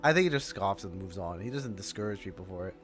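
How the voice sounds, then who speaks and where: A man streaming casual game reaction commentary, haltingly repeating his phrases as he thinks aloud. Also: low-pitched, animated, fairly bright tone. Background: game music.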